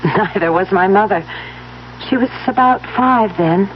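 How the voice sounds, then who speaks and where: A woman's voice: mid-range voice, measured pace, close to an everyday voice. An actress playing a tender, wistful woman in an old-time radio drama, speaking earnestly and with feeling. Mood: wistful, fond. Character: tender, wistful woman mourning her beloved step-grandfather. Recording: muffled vintage radio recording, faint hiss.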